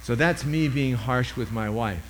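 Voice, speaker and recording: A man in his sixties with a loud voice, preaching a sermon into a microphone with emphatic swings between loud and hushed. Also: mid-range voice, measured pace, a little hiss or hum.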